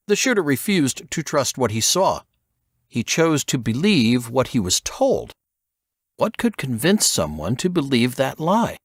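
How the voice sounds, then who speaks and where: A man's voice: medium pitch, no echo, studio quality. A man reading a scripted work of fiction as an audiobook narrator.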